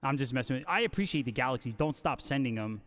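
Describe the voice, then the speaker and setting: A man's voice: medium pitch, expressive, quick pace, fairly bright tone. A man hosting a casual guitar livestream, reading out viewer comments and bantering with joking, slangy talk. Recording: long hall-like reverb on voice.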